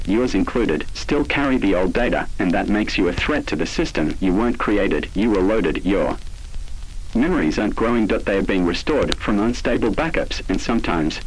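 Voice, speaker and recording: A man with a fairly low, noticeably husky voice narrates a scripted horror piece. His delivery is solemn and deliberate, with an ominous tone. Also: poor audio.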